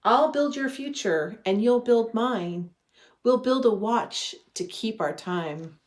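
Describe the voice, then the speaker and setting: A woman in her thirties reading a children's storybook aloud with gentle, deliberate phrasing. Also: fairly high pitch, lively intonation. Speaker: religious education director reading a children's story. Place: living room at home.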